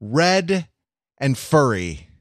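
A man's voice: medium pitch, very animated, very slow, no room sound, studio quality. A man hosting a podcast in casual, jokey chat, with wry, mock-grandiose build-ups. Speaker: podcast host.

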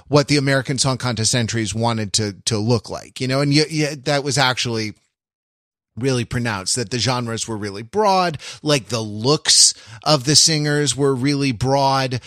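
A man on a podcast explaining in casual analytical discussion, halting and repeating phrases as he reasons. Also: mid-range voice, a bit bright, no echo, studio quality. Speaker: podcast panelist.

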